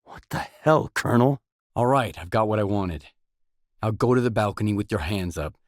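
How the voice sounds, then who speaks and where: A man plays a guarded video game drifter with a low voice. He speaks in short, matter-of-fact lines, recorded close and dry with no room around him. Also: lively intonation, moderate pace, no room sound, lightly acted. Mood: tense, commanding. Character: guarded, terse male drifter searching a dangerous zone.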